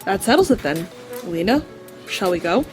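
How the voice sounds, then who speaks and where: A woman voicing a loyal, gentle talking dog in an audio drama, calm and reassuring with a husky voice. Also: medium pitch, normal speed, soft background music, lightly acted.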